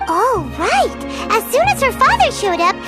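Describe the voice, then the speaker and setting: A woman voicing a small, curious fairy companion in a game, with a bright, childlike, exclamatory way of talking. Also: very high voice, very animated, a little slow, heavily acted. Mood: eager. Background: game music.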